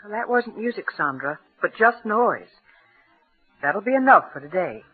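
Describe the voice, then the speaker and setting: A woman's voice: medium pitch, lively intonation, moderate pace, close to an everyday voice. A woman playing a strict, anxious housewife and stepmother in an old-time radio drama. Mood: scolding, restrained. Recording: tinny old radio recording, faint music.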